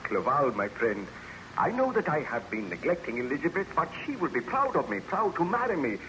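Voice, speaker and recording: An actor playing a proud, obsessed young scientist in a formal, theatrical old-time radio drama style, on a noisy recording. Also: male voice, a little high, sing-song, brisk, pushed and bright. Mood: fervent.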